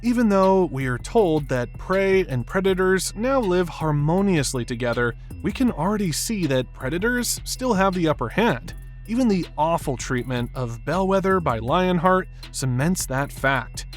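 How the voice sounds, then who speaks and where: A man's voice: sits high, very animated, normal speed, faint music behind. A man narrating a scripted film analysis in an emphatic, opinionated manner. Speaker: video essay narrator.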